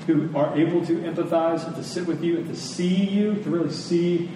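A man preaching a sermon in an earnest, emphatic way, repeating phrases deliberately for weight. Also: fairly high pitch, fairly even intonation, warm tone. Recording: echoey hall, distant, faint noise.

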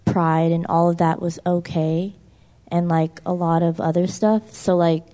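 A woman's voice: on the low side, level tone, measured pace, warm tone. A young woman sharing in a church group, speaking hesitantly with many "like" fillers. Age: young adult. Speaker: church member.

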